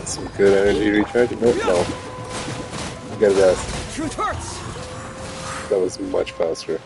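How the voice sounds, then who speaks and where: A man's voice: deep voice, fairly even intonation, takes it slowly, gravelly, music in the background. A man giving casual gameplay commentary as a streamer, muttering dry, sarcastic asides. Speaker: streamer playing a video game.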